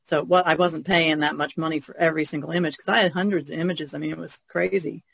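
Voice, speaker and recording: A man with a fairly high voice full of vocal fry, chatting casually about personal experience. The sound is dry and close, like an informal podcast discussion. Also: fairly even intonation, fast talker, a bit bright, dry recording.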